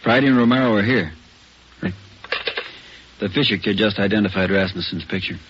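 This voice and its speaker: Skeptical detective, male actor, low register, curt and brisk, old muffled radio play. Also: noisy background, natural voice. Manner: curt, businesslike. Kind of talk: 1950s radio drama acting.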